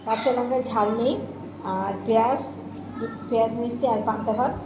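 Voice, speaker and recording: A woman's voice: a little high, steady tone, brisk. Female home vlogger, casual and chatty, repeats herself and quizzes viewers; roomy echo, noisy recording. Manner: repeats phrases, addresses viewers with questions. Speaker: home cooking and eating vlogger. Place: indoors at home. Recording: echoey room with clear background noise.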